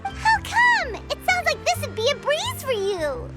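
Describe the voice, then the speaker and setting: Tiny female fairy, very high squeaky voice, lively swoops, chatty and eager, game voice acting. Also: measured pace, over loud music, full character voice. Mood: pleading, upbeat. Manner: talks about herself in third person, eager and pleading. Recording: loud background noise.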